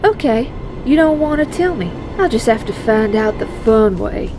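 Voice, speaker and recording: Woman playing sassy superheroine, lively pitch. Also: moderate pace, over music, lightly acted. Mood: threatening. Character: tough, vengeful superpowered Southern woman confronting a foe. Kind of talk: audio drama acting.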